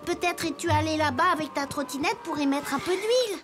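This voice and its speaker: Very high-pitched little boy detective. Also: a little fast, a bit bright, soft background music. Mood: earnest, inquisitive. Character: earnest, kind little wooden toy boy detective. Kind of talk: children's cartoon dubbing.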